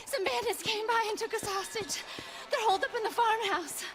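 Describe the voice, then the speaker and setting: A woman's voice: extremely high pitch, a little fast. A woman playing a distraught frontier townswoman in a game, emotional and pleading. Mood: desperate pleading. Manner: plaintive, emotional. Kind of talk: dramatic game cutscene acting.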